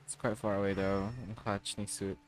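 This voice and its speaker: A man's voice: on the low side, monotone, moderate pace, slight background noise. A man livestreaming a game with casual, blunt, sweary, laid-back commentary.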